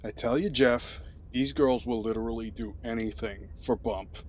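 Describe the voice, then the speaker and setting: An actor playing a slick, sleazy drug dealer, glib and wheedling, in an audio drama. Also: male voice, medium pitch, fairly even intonation, normal speed, a little mellow, slight background noise, close to an everyday voice. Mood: smug, teasing.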